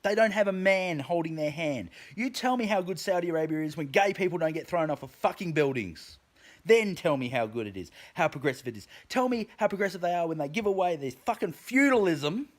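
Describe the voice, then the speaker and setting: Fiery, swearing man ranting with wide pitch swings. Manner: emphatic, heavy swearing, stumbling restarts. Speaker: vlogger. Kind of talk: profane opinion rant to camera.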